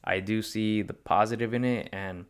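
A young man in his twenties hosts his own motivational podcast, speaking alone to the listener. His voice sits low and sounds smooth and clear. He talks earnestly and rambles, often breaking off to correct himself. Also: fairly even intonation, moderate pace. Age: 20s. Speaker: podcast host. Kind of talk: solo motivational podcast talk.

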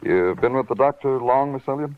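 An actor playing a world-weary police lieutenant with an American accent, in wry, hardboiled 1940s radio noir style. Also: male voice, medium pitch, fairly quick, noisy background, close to an everyday voice. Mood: businesslike. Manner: wry, dramatic, hardboiled delivery. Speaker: radio detective lead actor. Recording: dull vintage radio recording with prominent music.

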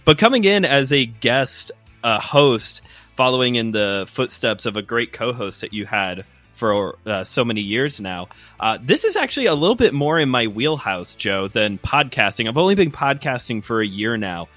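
A man's voice: medium pitch, sing-song, moderate pace, a bit bright, no room sound, soft background music. A man in his twenties with a Chicago accent, telling a friendly, rambling story as a guest host on a podcast. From Chicago area, US Midwest. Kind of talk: casual podcast chat.

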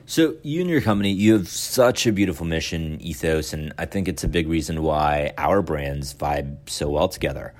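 A man's voice: very deep voice, lively intonation, normal speed, few pauses, darkish tone. A man hosting a podcast interview, upbeat, with filler words. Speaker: podcast host.

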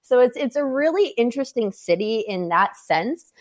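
A woman's voice: on the high side, animated, normal speed. Canadian woman, twenties, interview guest, cheerful, laughs easily. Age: 20s. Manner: upbeat, laughs often. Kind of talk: casual interview chat.

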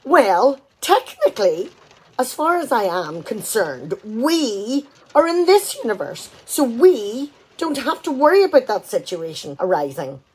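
A woman's voice: fairly high pitch, sing-song, measured pace, breathy edge, exaggerated voice, low-quality recording. An amateur actress, a woman, playing a practical, firm wife in a conversational domestic radio play.

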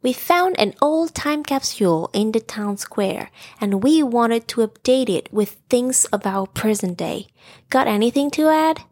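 A woman's voice: a little high, lively intonation, measured pace, a little mellow, no echo, clean studio sound. A woman voicing a friendly, polite, earnest male bear in a children's bedtime story. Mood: eager.

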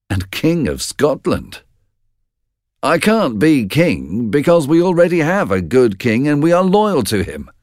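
A troubled warrior lord urgently demands answers in a raised, pitched-up voice, as a man acts him out. His delivery is animated, with strong swings in pitch. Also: dry recording, clean studio sound. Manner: urgent, protesting.

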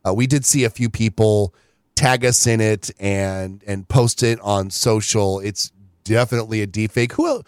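A man's voice: lowish voice, normal speed, clear, resonant tone, no room sound. Guy with a cold chatting on a podcast, laid back, lots of you know, dead dry mic. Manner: relaxed, chatty, many fillers like "you know". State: has a cold. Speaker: podcast co-host. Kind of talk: casual chat.

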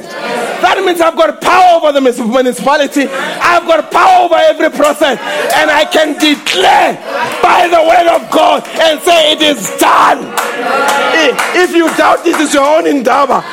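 A pastor preaching at full volume in a very high, gravelly voice. He hammers his points home and repeats his slogans. A loud congregation can be heard behind him. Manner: shouting, emphatic, repeats slogans. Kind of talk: fiery preaching sermon. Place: church service with congregation.

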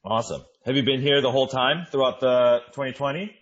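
A man's voice: mid-range voice, fast talker, a bit bright, highs cut off. A man hosting a podcast, upbeat and conversational as he introduces his guest and asks questions. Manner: upbeat, conversational, casual fillers. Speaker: podcast host. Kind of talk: podcast interview.

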